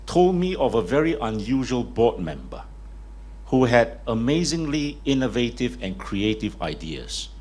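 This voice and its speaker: A man giving a formal, measured speech at a press conference. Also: fairly low pitch. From Singapore. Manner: measured, formal speaking delivery. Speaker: party leader and lawyer speaking at a press conference. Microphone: speaks without a mic at first.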